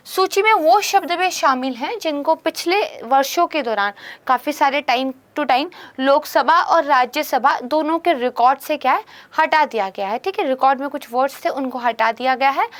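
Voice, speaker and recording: High-pitched hoarse woman teaching. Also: fairly bright tone. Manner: explanatory, peppers speech with English terms and "theek hai". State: hoarse from a sore throat. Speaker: current affairs teacher. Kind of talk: exam-prep lecture.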